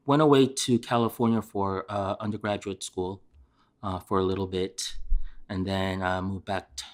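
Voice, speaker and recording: A middle-aged chef from Korea, answering interview questions in a hesitant way with many fillers and restarts. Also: male voice, on the low side, a little mellow.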